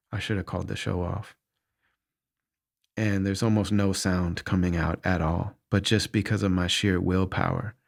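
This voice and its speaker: Gravelly low-voiced man, earnest and searching. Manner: earnest, searching, restarts thoughts often. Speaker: podcast host answering listener questions. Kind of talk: candid reflective monologue.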